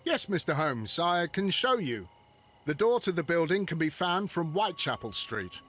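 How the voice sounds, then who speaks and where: A man's voice: a little high, big pitch swings, normal speed, a bit bright. A man playing a Victorian London police constable in a video game, with a British accent. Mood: deferential. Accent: British English.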